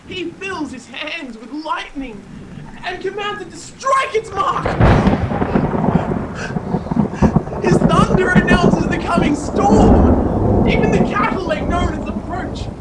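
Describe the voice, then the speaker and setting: A woman playing a zealous counselor who proclaims God's might in a declamatory, dramatic scripture reading with deliberate pauses. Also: very high-pitched, animated, very noisy. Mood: awestruck, thunderous.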